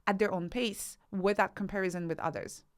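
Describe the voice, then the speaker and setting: A woman's voice: mid-range voice, steady tone, fairly quick. Woman explaining ideas in an interview. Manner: instructive, uses rhetorical questions and acted-out examples. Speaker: podcast guest, researcher and author.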